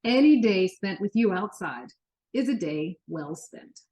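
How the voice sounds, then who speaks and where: An easygoing storybook dad, voiced by a woman reader, kindly and encouraging. He talks to his young son with relaxed affection. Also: medium pitch, a little slow, natural voice. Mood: reassuring. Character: easygoing, kindly young father of a little boy.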